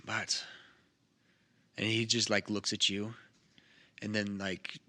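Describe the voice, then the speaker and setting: A man's voice: lowish voice, flat delivery, takes it slowly, sharp tone. A man narrating a tabletop game as game master, in casual, loosely improvised phrasing.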